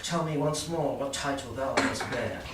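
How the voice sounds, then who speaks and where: An actor playing a pompous, self-satisfied prince in a Shakespearean staged reading, declaiming with deliberate dramatic pauses. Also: male voice, medium pitch, close to an everyday voice. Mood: haughty, deliberating. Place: live staged reading in a reverberant room. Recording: echoey room from far off, faint noise.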